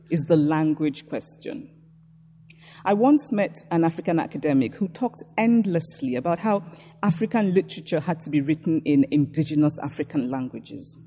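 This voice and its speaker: A Nigerian woman lecturing in a measured, reflective way. Her voice is mellow and a little husky. It carries the long reverb of a large hall. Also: sits low. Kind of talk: reading a prepared lecture.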